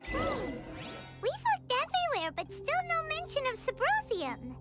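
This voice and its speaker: A woman's voice: very high-pitched, wildly swooping pitch, slow pace, exaggerated voice. A woman voicing a small, curious, chirpy childlike fairy companion in a video game, with music playing. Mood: eager. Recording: loud music over the voice.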